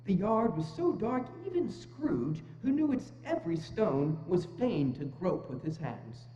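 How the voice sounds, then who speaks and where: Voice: medium pitch, big pitch swings, a little slow, dark tone. A narrator reading scripted dramatic prose. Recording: muffled, echoey hall, hum.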